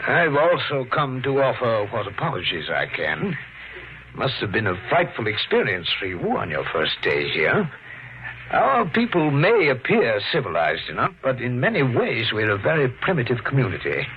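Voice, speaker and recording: Courteous, evasive mayor with animated delivery. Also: male voice, medium pitch, normal speed. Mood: formal, apologetic. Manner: formal, hesitant, apologetic. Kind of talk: 1950s radio drama acting. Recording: dull, faintly noisy 1950s radio recording.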